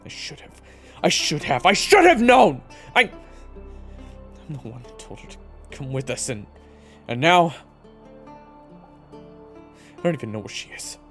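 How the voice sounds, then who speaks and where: A man's voice: on the high side, very animated, measured pace, pauses often, a bit bright, soft background music. A man playing a bitter, foul-mouthed investigator weighed down by guilt in an audio drama. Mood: anguished, self-blaming. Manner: swearing, bitter, blunt. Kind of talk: dramatic audio roleplay acting.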